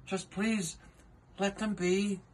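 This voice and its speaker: Big gentle storybook elephant, male reader putting it on: sincere, anxious, pleading, raised pitch. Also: level tone, slow. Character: earnest, worried, kind-hearted big male elephant. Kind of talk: storybook character reading.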